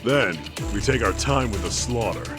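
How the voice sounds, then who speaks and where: A man's voice: fairly low pitch, normal speed, music in the background, close to an everyday voice. A man playing a cold, threatening yakuza clan enforcer in a dubbed video game cutscene. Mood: cold menace.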